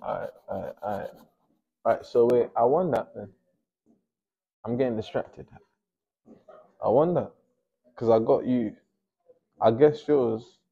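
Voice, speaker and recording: A man's voice: mid-range voice, unhurried, vocal fry, long pauses, dark tone, slightly rough, muffled recording. A young man from Nigeria chatting casually while he paints in a small home studio, often mumbling to himself as he works. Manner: laid-back, mumbles to himself while working. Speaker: painter and host.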